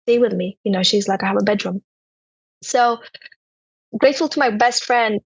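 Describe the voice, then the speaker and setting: A young woman from Turkmenistan with a Turkmen accent, telling her personal story as an interview guest in a halting way, with many "you know" fillers. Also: mid-range voice, gravelly, slightly rough, no echo, lo-fi sound.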